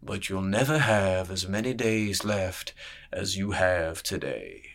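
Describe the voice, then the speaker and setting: A paunchy spymaster in his fifties speaks in a slow, hushed murmur, as a male audiobook narrator puts him on. His low voice stays calm while a quiet threat sits beneath it. It is a storybook villain read for listening. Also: exaggerated voice. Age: 50s. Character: paunchy menacing middle-aged spymaster, soft-spoken. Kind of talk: audiobook character voice.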